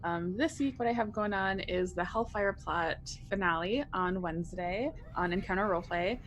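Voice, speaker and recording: A woman's voice: on the low side, normal speed, slight background noise. A woman chatting casually as a guest player, plugging their writing and shows. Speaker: guest player and game writer. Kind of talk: casual chat.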